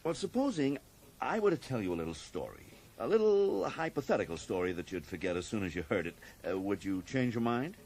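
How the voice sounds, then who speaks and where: Deep-voiced, sly American agent. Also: male voice, very animated, fairly quick, a little mellow, rough recording. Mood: confiding. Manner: falsely genial, hesitant, insinuating. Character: sly, folksy American government agent, needling and evasive. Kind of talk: radio drama acting. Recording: faint hiss on old radio recording.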